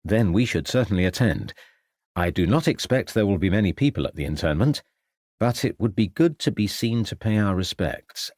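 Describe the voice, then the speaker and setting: A man's voice: low-pitched, a little mellow, no echo, clean studio sound. A man voicing a polite, dutiful police detective in an audiobook, formal and restrained. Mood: composed, formal. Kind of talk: audiobook character acting.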